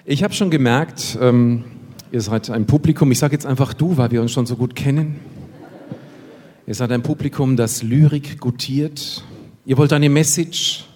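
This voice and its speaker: A man's voice: mid-range voice, normal speed, warm tone. A man performing a comic poetry slam text on stage with an ironic, punchline-driven delivery. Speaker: poetry slammer. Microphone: stage microphone. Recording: long hall reverb.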